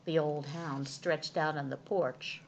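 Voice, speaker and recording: A woman reading a children's storybook aloud as an expressive narrator. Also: low voice, slow, slight background noise. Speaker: storytime reader.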